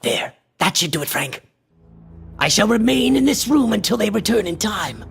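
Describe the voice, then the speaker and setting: A man's voice: high voice, sing-song, moderate pace, gravelly, fairly bright tone, slightly rough, faint music behind, full character voice. A male actor playing a frantic, obsessed occultist in a horror radio play, declaiming in a theatrical, grandiose style. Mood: grim resolve.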